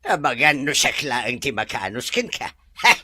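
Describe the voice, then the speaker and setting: A man's voice: medium pitch, very animated, normal speed, bright, forceful tone, breathy edge, dry recording, full character voice. A man with a Filipino Ilocano accent acting a humble, middle-aged driver in an audio drama, stammering and teasing as he defers to his employer. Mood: sheepish, deferential. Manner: stammering, giggly, full of interjections.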